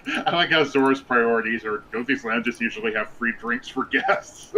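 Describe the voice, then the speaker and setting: A man's voice: mid-range voice, moderate pace, pushed and bright, slight background noise, low-quality recording. A man co-hosting a casual podcast, making crude jokes and laughing at his own lines. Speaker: podcast co-host. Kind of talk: casual chat.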